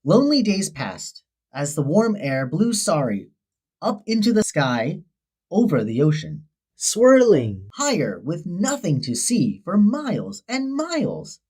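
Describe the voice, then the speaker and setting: Very animated woman reading a children's story. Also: fairly low pitch, unhurried, husky. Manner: dramatic, expressive storytelling. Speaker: storybook narrator. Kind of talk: scripted children's story read-aloud.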